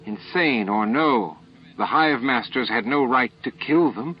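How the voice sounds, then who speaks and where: A man's voice: medium pitch, expressive, measured pace, husky, voice pushed up. A man voicing a fierce, snappish elderly female alien scientist in an audiobook drama. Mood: indignant, scornful. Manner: snappish, forceful, righteous. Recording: dull lo-fi audio with music.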